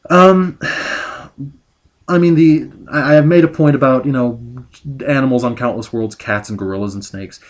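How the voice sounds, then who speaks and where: A man giving a critical book review as a rant. He sounds exasperated, stops and restarts often, and records at home on a desktop mic. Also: medium pitch. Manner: exasperated, ranting; halting, full of restarts.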